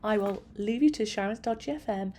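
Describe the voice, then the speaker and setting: A middle-aged woman with a raspy, hoarse voice, chatting casually and meanderingly while she paints at home. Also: medium pitch, fairly quick, darkish tone, a little hiss or hum. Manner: chatty, meandering, self-effacing. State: hoarse from long illness. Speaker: art channel host. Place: at home by a window.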